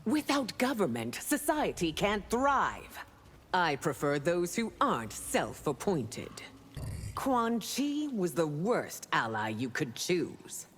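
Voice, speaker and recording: Cold haughty ruler in a fighting game, slightly low pitch, animated authoritative delivery. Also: female voice, takes it slowly, in a large hall, a little hiss or hum. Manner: haughty, declarative. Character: haughty, authoritarian female monarch, cold and disdainful. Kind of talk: fighting game character dialogue.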